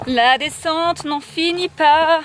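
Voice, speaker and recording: A woman's voice: sits high, takes it slowly, over music. A young woman chatting casually on camera while hiking outdoors in the mountains, bubbly and enthusiastic. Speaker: vlogger on a hiking trip.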